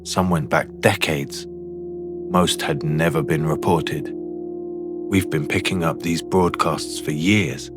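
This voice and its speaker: A man plays a haunted signal technician with an extremely low voice, narrating a first-person horror story. The delivery is deliberate and comes in short, broken phrases. Music sits clearly behind the voice. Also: steady tone. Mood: haunted, uneasy.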